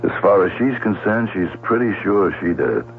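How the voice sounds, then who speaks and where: An actor playing a polite, matter-of-fact police lieutenant in a 1970s radio drama. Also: male voice, deep voice, expressive, fairly quick, gravelly, slight background noise. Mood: courteous, matter-of-fact. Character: brisk, courteous male police lieutenant. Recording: tinny, humming old radio broadcast.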